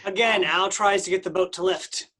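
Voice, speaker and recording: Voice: mid-range voice, steady tone, normal speed, a bit bright. A matter-of-fact reader giving scripted stage directions for a play.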